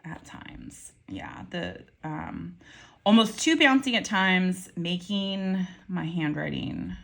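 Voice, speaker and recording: A woman with a low, unhurried voice talks through her reviews. Her pitch swings widely as she rambles, full of ums, restarts and wry, mildly salty asides. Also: sing-song, vocal fry, a bit bright. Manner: chatty, rambling, frequent ums and self-corrections. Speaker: pen and ink reviewer. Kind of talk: casual review vlog.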